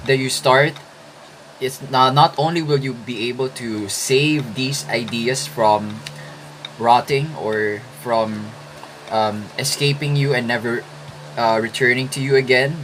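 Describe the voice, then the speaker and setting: A man in his twenties from the Philippines, whose first language is Filipino, talking through writing tips in a casual vlog with thoughtful pauses and restarts. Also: mid-range voice, a bit bright, slight background noise, rough recording. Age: 20s. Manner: slow, thoughtful, with hesitant restarts. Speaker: writing vlogger.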